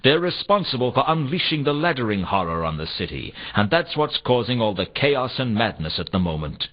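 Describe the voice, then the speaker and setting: An actor playing a world-weary, sardonic private detective in a noir video game, with a wry, deadpan, pressing delivery. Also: male voice, mid-range voice, brisk. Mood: urgent, insistent. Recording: dull, muffled old console game audio.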